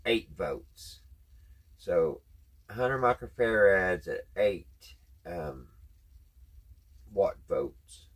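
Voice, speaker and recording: A man with a Southern US accent speaks in a fairly low, husky voice. He hosts a casual hands-on tutorial at a workbench. His delivery is folksy and conversational, as if thinking aloud while he works. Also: animated, extremely slow, long pauses. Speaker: host of a radio repair video.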